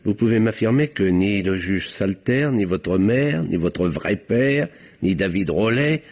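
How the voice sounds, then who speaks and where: An actor playing a courteous, insistent doctor turned sleuth, questioning in measured, articulate old radio mystery style. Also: male voice, fairly low pitch, lively intonation, a little mellow. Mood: probing, insistent. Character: courteous, probing doctor turned amateur detective. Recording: muffled old radio drama recording.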